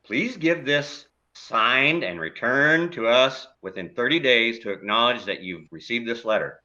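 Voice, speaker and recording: A man acting a loud, defensive, blustery bickering neighbor in a comedic play. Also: medium pitch, lively intonation, unhurried, muffled recording. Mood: stunned, indignant. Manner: blustery, exclamatory, profane.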